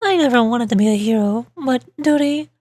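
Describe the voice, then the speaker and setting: A woman's voice: a little high, expressive, fairly quick, a little mellow, dry recording. A woman voicing a theatrically heroic young female detective with a dramatic, pompous delivery. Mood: brooding, grandiose.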